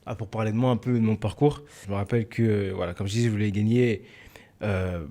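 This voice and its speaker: A man's voice: fairly low pitch, fairly even intonation, fast, dark tone. A twenty-year-old man co-hosting a podcast in a studio, chatting loosely with trailing phrases. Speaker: podcast co-host, freelance graphic designer. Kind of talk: casual chat.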